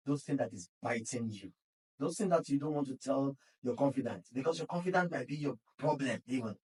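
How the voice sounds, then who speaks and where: A man's voice: mid-range voice, warm tone, recorded from a distance, poor audio. A Nigerian man talks in an earnest, exhorting way, with a mid-range voice. He sounds a bit set back from the microphone, as a podcast founder being interviewed. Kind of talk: casual interview.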